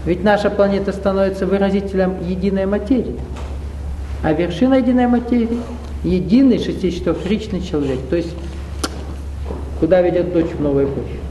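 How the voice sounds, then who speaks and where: A man with a high, warm voice teaches a spiritual seminar to a live audience. He talks conversationally, asking the room questions and slipping in wry asides. The sound carries the echo of a roomy hall. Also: quick pace, slight background noise.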